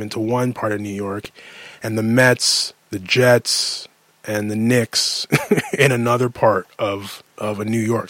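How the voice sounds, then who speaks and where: A man's voice: on the low side, no room sound. A Canadian man in his late thirties giving a casual, emphatic sports rant, often repeating phrases for effect in an exasperated way. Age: late 30s.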